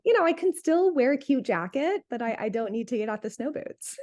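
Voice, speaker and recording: A woman's voice: high-pitched, expressive, fairly quick, darkish tone. A woman in her late twenties from North Carolina, a diabetes health coach, chatting casually as an interview guest, laughing often and filling with "like". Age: 20s.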